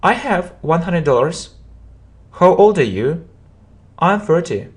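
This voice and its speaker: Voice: medium pitch, sing-song, takes it slowly. A teacher giving a language lesson who repeats each example phrase and often breaks it into separate words for the learner to follow. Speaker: English teacher. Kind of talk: slow drilling language lesson.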